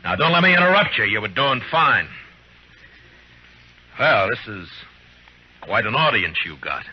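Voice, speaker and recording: A man's voice: fairly low pitch, very animated, unhurried, husky, full character voice. An American man acting a stern, weary, middle-aged frontier lawman in a radio western, terse, dry and commanding. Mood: scornful, challenging. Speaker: radio actor. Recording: dull, noisy old radio recording.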